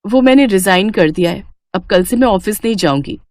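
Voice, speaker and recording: A woman's voice: medium pitch, expressive, fairly quick, a little mellow, dry recording, natural voice. A woman voicing a dutiful young working daughter-in-law, respectful and earnest, in a family moral story.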